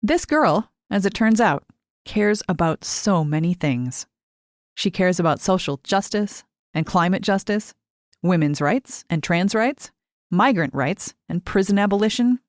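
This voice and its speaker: A woman reading a short story aloud as expressive, scripted narration with measured phrasing and deliberate pauses. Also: medium pitch, no room sound, studio quality. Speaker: short fiction reader.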